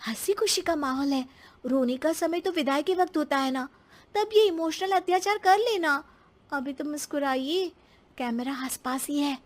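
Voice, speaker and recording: A woman's voice: high-pitched, moderate pace, pitched above the performer's own voice, natural voice. A woman voicing an outspoken young bride in a cartoon story, cheeky and direct in manner. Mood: teasing. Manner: pert, direct.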